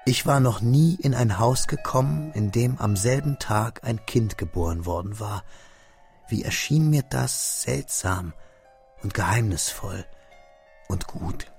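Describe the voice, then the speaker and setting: Hushed, wistful young narrator, gravelly. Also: male voice, fairly low pitch, faint music behind. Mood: hushed wonder. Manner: measured, hushed, drops to near whispers. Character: young man recalling a lost friend, wistful, restrained. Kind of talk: literary radio drama narration.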